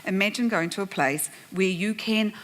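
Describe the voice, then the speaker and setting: A woman's voice: medium pitch, normal speed. A woman with a New Zealand accent, moderating a conference panel and telling a humorous, self-deprecating story to the audience with frequent laughs. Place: conference plenary room.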